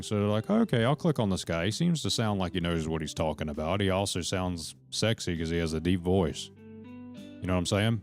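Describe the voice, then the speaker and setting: A deep, low-voiced man talks loosely to his stream chat, hopping between thoughts and cursing as he goes. Faint guitar music plays behind him. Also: darkish tone. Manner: rambling, profane, jumps between points; talks to chat members directly. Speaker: live streamer. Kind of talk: rambling live-stream chat to viewers.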